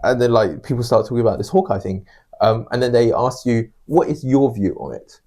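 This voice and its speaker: A man's voice: mid-range voice, fairly quick, warm tone. A man co-hosting a podcast in casual discussion, making points with repetition and rhetorical questions. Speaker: podcast co-host.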